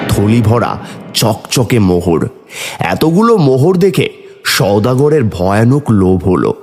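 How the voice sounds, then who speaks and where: A man tells a scripted folk tale for children in an expressive way. His voice is husky with animated swings in pitch, set in a long, hall-like reverb. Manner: expressive storytelling. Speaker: narrator.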